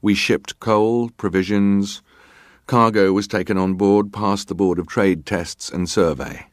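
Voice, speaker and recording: An actor playing a terse, guarded ship's officer giving formal, respectful, matter-of-fact testimony in a dramatised hearing. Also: male voice, lowish voice, steady tone, measured pace, dry recording, clean studio sound, close to an everyday voice. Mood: dutiful, firm. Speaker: actor reading a witness's testimony.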